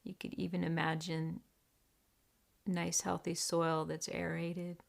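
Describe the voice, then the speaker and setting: Very slow, creaky-voiced woman guiding meditation. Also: fairly low pitch, completely flat delivery. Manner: deliberate, measured, with long pauses. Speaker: meditation teacher. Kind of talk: guided meditation.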